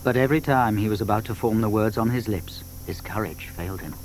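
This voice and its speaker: A man narrating a dramatised children's story with expressive, measured phrasing. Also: fairly low pitch, slight background noise, low-quality recording.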